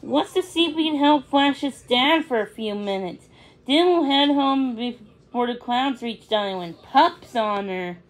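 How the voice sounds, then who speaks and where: A kind young girl puppy from a children's storybook, voiced by a woman reading aloud. She sounds gentle and earnest, with a high, unhurried voice. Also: animated, low-quality recording. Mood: sweet, reassuring. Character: kind, helpful young female puppy with sweet temper.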